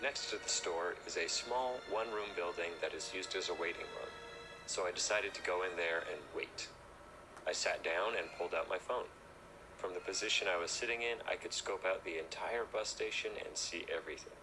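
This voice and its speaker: A man's voice: low voice, fairly quick, music in the background. A man reading a scripted first-person horror story as narrator. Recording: noisy recording.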